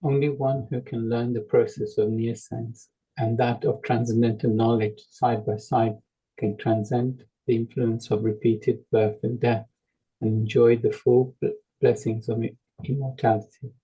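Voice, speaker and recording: A man reading a translation aloud in a class, halting and stumbling on words. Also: mid-range voice, level tone, dark tone, breathy edge, muffled recording. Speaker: reader in a class.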